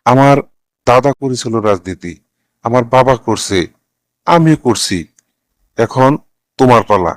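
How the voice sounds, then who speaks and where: Cartoon role: pushy middle-aged political patriarch , voiced by a man, chiding and patronising, medium pitch, very dry recording. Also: measured pace, long pauses, no echo, natural voice. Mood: insistent. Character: domineering politician father guarding the family's political dynasty. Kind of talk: scripted cartoon dialogue.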